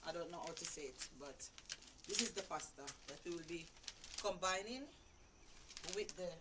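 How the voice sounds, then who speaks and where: A Jamaican woman hosting a live cooking stream in a backyard, chatty and quick to laugh at herself. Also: on the low side. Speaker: cooking show host. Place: outdoor backyard kitchen. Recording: distant mic, loud frying noise.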